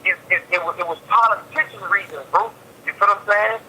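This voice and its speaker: A man's voice: high-pitched, a little fast, pushed and bright. A man being interviewed by phone, talking in casual street slang, emphatic and repeating himself. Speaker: jail inmate being interviewed. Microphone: telephone handset. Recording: tinny jail phone call, faint noise.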